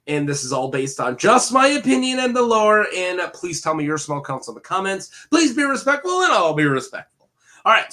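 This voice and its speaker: A man's voice: a little high, sharp tone. A man getting over a head cold, hosting a rambling solo commentary video full of fillers and self-corrections. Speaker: video host.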